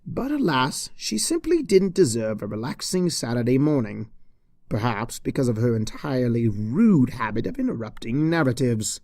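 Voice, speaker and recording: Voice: mid-range voice, very animated, moderate pace, a little mellow, no echo, studio quality. A narrator reading a scripted comic story with dramatic storybook delivery. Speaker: narrator, fan fiction reader.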